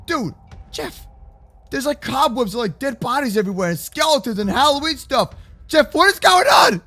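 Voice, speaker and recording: A very high-voiced young man plays through a kids' gaming roleplay. His delivery is bright and animated, full of exclamations and slang. Faint music sits behind him. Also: normal speed. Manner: exclamatory, slangy, often shouting, playful. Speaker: host of a gaming roleplay channel. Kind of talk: excitable children's gaming roleplay commentary.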